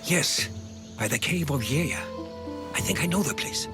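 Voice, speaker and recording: A man's voice: a little high, lively intonation, measured pace. An actor voicing a cautious, thoughtful old man in a video game drama, with music behind. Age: older. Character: old male historian companion, cautious, thoughtful, wary.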